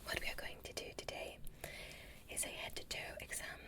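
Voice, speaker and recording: A woman voicing a courteous, curious female alien space doctor in a soft, breathy, soothing whisper, as ASMR roleplay. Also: natural voice. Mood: welcoming, reassuring. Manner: slow, soothing, gently instructing. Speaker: ASMR performer.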